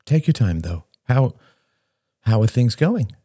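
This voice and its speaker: Man voicing a gentle, concerned woman. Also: medium pitch, sing-song, unhurried, husky, voice pushed up.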